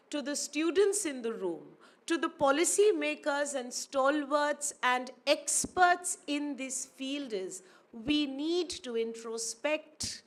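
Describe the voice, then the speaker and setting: Slow, high-voiced woman giving a speech. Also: a bit bright. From India. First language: Urdu. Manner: measured, formal, repeats phrases for emphasis. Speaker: guest speaker, academic. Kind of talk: formal ceremony speech. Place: university auditorium.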